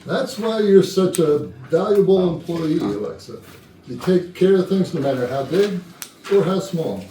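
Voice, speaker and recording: A man's voice: high voice, big pitch swings, a little slow, warm tone. A man playing a genial, appreciative boss hosting a party in a staged comedy reading. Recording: echoey rehearsal room, distant, faint noise.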